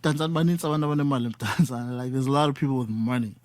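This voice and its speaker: A man's voice: mid-range voice, very fast, no echo. A man in his thirties hosting a casual talk, emphatic and switching between English and isiXhosa. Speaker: podcast host. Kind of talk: casual conversation.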